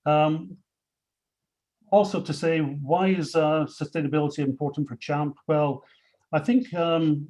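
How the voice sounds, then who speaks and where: A man's voice: fairly high pitch, steady tone, unhurried, a little mellow. A man from Scotland with a Scottish accent, a company chief presenting industry awards in a webinar, speaking unscripted with many fillers and restarts.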